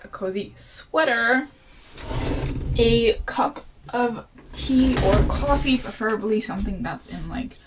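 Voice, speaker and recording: A woman's voice: a little high, low-quality recording. A woman book vlogger chatting casually to camera at home, playful, with lots of fillers and jokey asides. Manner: chatty and playful, many "like" and "you know" fillers.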